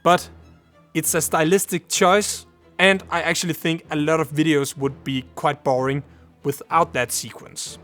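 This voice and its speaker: A young Danish man, speaking English as a second language, talks straight to his viewers in a fairly high voice. He gives earnest advice in a steady, scripted way. Faint music plays under his voice. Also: a little slow. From Denmark. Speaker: YouTuber. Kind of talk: scripted vlog talk to camera.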